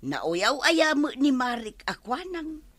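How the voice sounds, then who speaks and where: A woman's voice: high voice, big pitch swings, slow, fairly bright tone, heavily acted. A woman playing a pushy, practical driver's wife in an Ilocano comedy radio drama, nagging and questioning.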